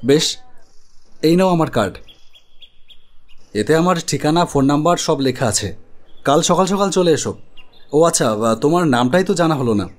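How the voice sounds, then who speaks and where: A man playing an earnest, uneasy protagonist who narrates his own story in an animated horror drama. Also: fairly high pitch, moderate pace, pauses often, close to an everyday voice. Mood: businesslike. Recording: music under the voice. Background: background music.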